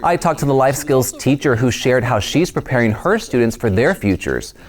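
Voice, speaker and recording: A man's voice: mid-range voice, very animated, normal speed. Upbeat male news show host. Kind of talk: scripted news presenting.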